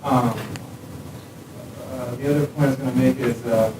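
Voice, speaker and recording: A man's voice: medium pitch, steady tone, moderate pace. A man sharing a point in a casual discussion, full of fillers. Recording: distant, echoey, very noisy.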